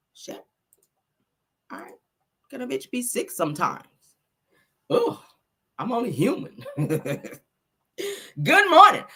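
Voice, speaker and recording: A woman hosting a celebrity gossip show with sassy, animated commentary, her voice a little sick and deeper than usual. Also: breathy edge. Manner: loud, sassy, calls viewers honey and baby.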